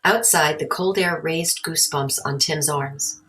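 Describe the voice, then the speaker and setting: A woman reads a children's storybook aloud. Her voice is low in pitch, and she narrates the tale as a read-along. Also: a little slow, a bit bright.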